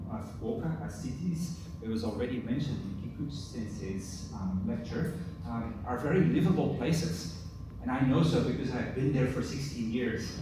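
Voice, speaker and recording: Belgian man lecturing in echoey hall. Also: mid-range voice. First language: Dutch. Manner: relaxed, hesitant, many fillers, chuckles. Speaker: university professor. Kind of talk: conference presentation. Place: large conference hall. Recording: echoey hall from far off, noisy.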